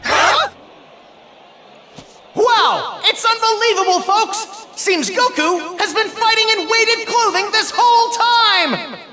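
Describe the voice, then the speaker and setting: A man playing an excitable, flabbergasted martial arts tournament announcer in an anime game, calling the action in showy, exclamatory patter. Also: very high-pitched, takes it slowly, loud music behind the voice. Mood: amazed. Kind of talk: anime game ringside commentary.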